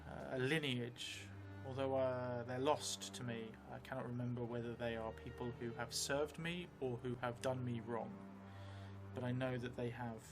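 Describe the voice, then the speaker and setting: Guy doing a solo rpg stream, measured and thoughtful, medium pitch, music playing behind him. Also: level tone. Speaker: solo role-play game player and stream host. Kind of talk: livestream tabletop storytelling and chat. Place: indoors, small stream set at a table.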